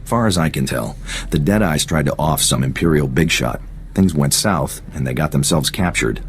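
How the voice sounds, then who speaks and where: A laid-back secret agent for a video game, super deep voice, frank casual talk, slight noise. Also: male voice, expressive, normal speed. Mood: frank, resigned. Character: worldly, laid-back male intelligence agent, candid informant. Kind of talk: scripted video game cutscene acting.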